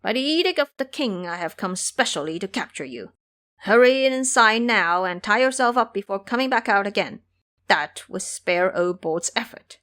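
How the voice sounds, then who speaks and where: A woman's voice: mid-range voice, expressive, moderate pace, a bit bright, natural voice. A woman voicing a brash, foolish male pig-monk warrior, boastful and blunt, in an audio drama. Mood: commanding.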